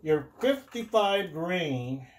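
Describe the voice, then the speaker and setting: A man's voice: on the high side, animated, slight background noise. A man whose first language is Tagalog, hosting a casual explainer video, talking hesitantly with many fillers and restarts. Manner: slow, deliberate. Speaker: channel host.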